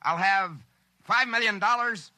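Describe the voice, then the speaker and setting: Bright, excitable radio-drama drifter. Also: male voice, high-pitched, measured pace, full character voice. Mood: greedy, commanding. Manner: frantic, exclaiming. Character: excitable, greedy male drifter with a magic wishing machine. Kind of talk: 1950s radio drama acting. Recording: 1950s radio recording with music.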